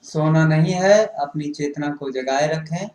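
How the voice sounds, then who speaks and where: Male yoga instructor, fairly high pitch, very unhurried delivery of short guided-meditation cues. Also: a little mellow. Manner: instructing in short, repetitive phrases. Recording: roomy, echoing sound.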